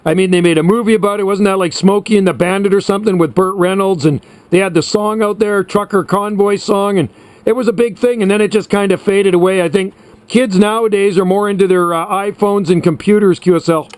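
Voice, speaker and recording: A man's voice: high-pitched, steady tone, a little fast, a little mellow, no room sound. A man of about sixty chatting casually and at length as a hobby radio operator, in a reminiscing way. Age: around 60. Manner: chatty, reminiscing, uses radio sign-offs.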